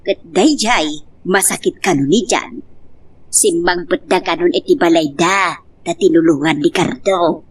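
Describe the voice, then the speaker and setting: A woman's voice: on the low side, unhurried, slightly rough. A woman playing a middle-aged, hurt but stubborn abandoned wife and devoted mother in an Ilocano love drama, in an emotional, pleading manner. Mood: concerned. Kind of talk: Ilocano radio-style love drama acting.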